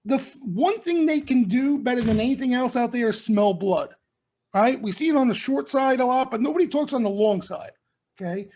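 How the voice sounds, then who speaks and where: A man's voice: very high-pitched, lively intonation, normal speed, a little mellow. Blunt man checking "okay?" after every point. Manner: emphatic, punctuates nearly every point with "okay?" and "right?"; blunt street-wise slang. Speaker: trading room host. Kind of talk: live market commentary broadcast.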